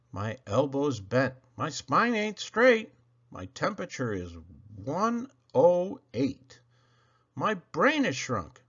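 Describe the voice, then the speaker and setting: Storybook kid faking sick, played by a man, plaintive and hammy, pitch swooping wildly, slightly dull recording. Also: a bit bright, husky, exaggerated voice.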